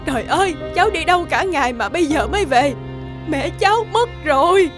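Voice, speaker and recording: A woman's voice: sits high, lively intonation, fairly quick. A woman voicing an emotional, reproachful but kind-hearted village neighbour in a children's folk-tale cartoon. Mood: shocked, scolding. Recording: music behind the voice.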